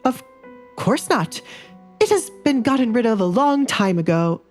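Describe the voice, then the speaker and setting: A woman's voice: on the high side, very animated, measured pace, a little mellow, faint music behind, pitched above the performer's own voice. A woman voicing an uneasy middle-aged baron and father in an audio drama, tense and eager to appease. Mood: defensive.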